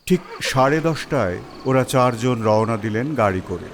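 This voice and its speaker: A man's voice: mid-range voice, expressive, normal speed, slight background noise. A man narrating a scripted story as its storyteller.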